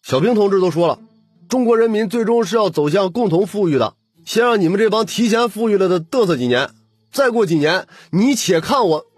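A man's voice: high-pitched, animated, brisk, slightly rough, dry recording, faint music behind, pitched above the performer's own voice. A man voicing a cheeky, indignant young male tenant in an audiobook, complaining and pleading dramatically. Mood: indignant, boastful.

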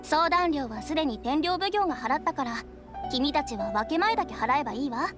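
An actress playing a cool, shrewd young detective in an anime game, confident and businesslike. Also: female voice, high-pitched, lively intonation, brisk, over music. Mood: assured.

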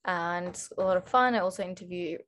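A woman, introducing herself on an online panel in an unhurried way, with many pauses, over a video call from a room at home. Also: lowish voice, fairly bright tone, slight background noise. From Australia. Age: 20s. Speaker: panel speaker, writer and actress.

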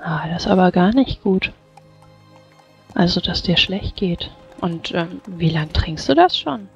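A woman playing a tabletop game, improvising in character in a gentle, coaxing, hesitant way. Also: fairly low pitch, animated, a little slow, slightly rough, lo-fi sound. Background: faint music.

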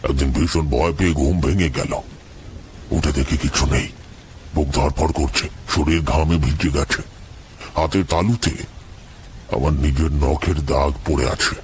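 Man playing a very deep, haunted, terrified man. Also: steady tone, slight background noise. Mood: dread. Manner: slow, halting, repeating words in dread. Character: haunted, terrified man recounting his nightmares. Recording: music under the voice.

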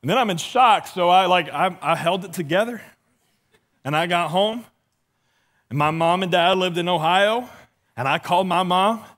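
A man's voice: high voice, normal speed, long pauses, a bit bright. A middle-aged man with a lisp, preaching on a church stage and telling self-deprecating stories with acted-out dialogue. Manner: walks and gestures while preaching. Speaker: pastor. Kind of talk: humorous sermon.

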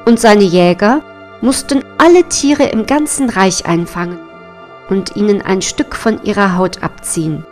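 A woman storyteller reads a fairy tale at a slow, deliberate pace. Her pitch sits in the middle for a woman, and music plays behind her measured narration. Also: darkish tone.

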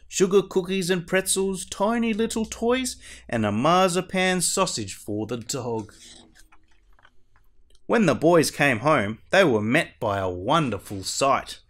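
A man playing a costumed superhero who reads a children's storybook aloud in a theatrical, deliberate way. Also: fairly high pitch, a bit bright. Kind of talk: animated picture-book read-aloud.

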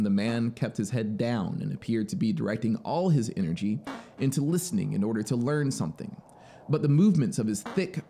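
A man in his thirties narrating literary fiction dramatically, in a measured, expressive way, close to a studio microphone. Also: mid-range voice. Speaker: storyteller. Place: small home music studio. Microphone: close studio microphone on boom arm. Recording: faint background noise and ambience. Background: ambient soundscape.

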